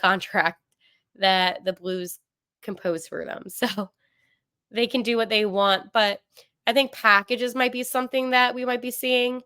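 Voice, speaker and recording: A young woman in her twenties hosting a casual sports podcast, talking in a chatty, animated way with lots of fillers and self-corrections. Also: fairly high pitch, clean tone, no room sound, clean studio sound. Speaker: podcast host.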